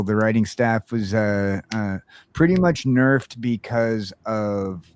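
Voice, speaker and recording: A man hosting a casual geeky chat podcast, talking in halting bursts full of fillers and restarts. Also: on the low side. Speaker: podcast host.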